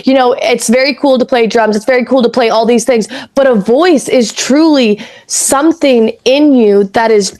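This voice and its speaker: A raspy-voiced woman in her thirties from Canada, co-hosting a podcast in rambling, casual chat that drifts into spiritual talk and easy swearing. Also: on the high side, moderate pace, hardly any pauses, a little mellow. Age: 30s. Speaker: podcast co-host.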